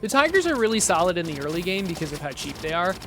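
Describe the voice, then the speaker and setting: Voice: mid-range voice, very animated, fast. Casual gameplay commentator over background music. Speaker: game developer demoing own game.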